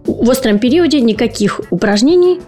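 A woman's voice: a little high, animated, a little fast, a little mellow, soft background music. A woman speech and voice therapist hosting an educational podcast, explaining patiently and posing rhetorical questions to her listeners.

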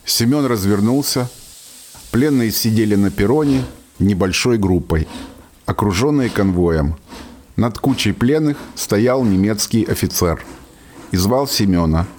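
A man with a fairly low voice narrates a war story. The delivery is scripted storytelling for a radio play. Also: animated, unhurried, a little mellow, slight background noise.

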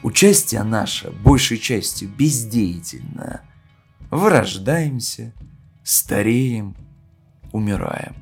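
A man playing a solemn poet, reciting a grave philosophical oration in a measured, declamatory way with emphatic pauses. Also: on the low side, lively intonation, gravelly, breathy edge, exaggerated voice. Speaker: reader of a poet's speech. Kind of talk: dramatic literary recitation in an audio play. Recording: music under the voice. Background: music.

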